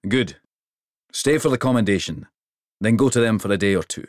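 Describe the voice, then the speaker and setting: A man's voice: on the low side, animated, normal speed, no room sound, studio quality, voice pushed up, lightly acted. A man voicing a jovial, towering king who speaks in a hearty, commanding way. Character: booming jovial giant of a king.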